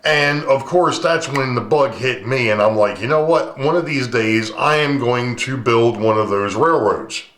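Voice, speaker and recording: A man hosting his own hobby channel, talking casually to his camera with a booming voice, rambling and full of ums, recorded on a camcorder's built-in mic. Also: a little slow, hardly any pauses, fairly bright tone. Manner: rambling, chatty, many ums. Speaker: model railroad channel host. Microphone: camcorder's built-in mic, no lapel mic. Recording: echoey room.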